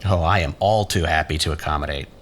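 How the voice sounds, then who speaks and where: A man's voice: a bass voice, quick pace, a bit bright, slight background noise. An actor playing a needy, lovelorn, sardonic man in an audio drama, with a dramatic, wheedling manner.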